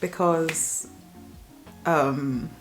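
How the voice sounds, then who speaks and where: A woman's voice: deep voice, extremely slow, loud music behind the voice. A young woman from the south of England with a Southern English accent, giving frank, opinionated talk in a conversational vlog. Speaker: YouTuber.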